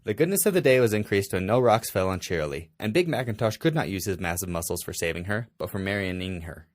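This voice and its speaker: A man's voice: on the low side, lively intonation, fast, no room sound, clean studio sound. A man reading a story aloud in an exaggerated, mock-serious dramatic way. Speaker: amateur fanfiction reader.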